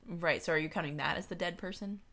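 A woman's voice: fairly low pitch, fast talker, a bit bright. A woman co-hosting a casual book discussion, talking informally and questioning things as she thinks aloud. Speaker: podcast co-host. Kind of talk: casual chat discussing books.

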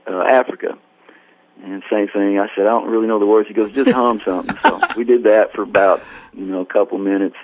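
A man as the guest musician in an interview, telling a story in a rambling, self-deprecating way and acting out the dialogue. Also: lowish voice. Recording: dry tinny phone line.

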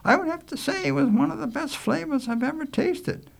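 A man voicing a soft, sympathetic lady schoolteacher who speaks properly and gently in a storybook reading. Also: extremely high pitch, animated, fairly quick, creaky, pitched above the performer's own voice, full character voice. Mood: pleased.

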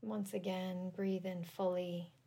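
Woman doing guided meditation, really slow and soothing, kinda low voice, lots of pauses. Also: level tone, creaky, slightly rough. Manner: soothing, deliberate, gentle, with long pauses. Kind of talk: guided yoga nidra meditation.

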